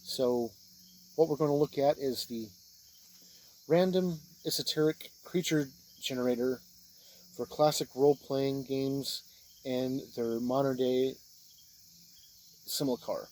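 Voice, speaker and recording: A man giving an informal spoken review of role-playing books, plain-spoken and halting, speaking in short phrases. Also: medium pitch, fairly even intonation, a little mellow, a little hiss or hum, rough recording.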